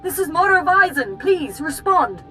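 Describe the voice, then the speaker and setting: High, anxious underworld ally. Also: female voice, big pitch swings, measured pace, bright, forceful tone, faint music behind, exaggerated voice. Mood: panicked. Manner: urgent, pleading. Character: anxious, urgent female underworld ally calling in. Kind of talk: video game character acting.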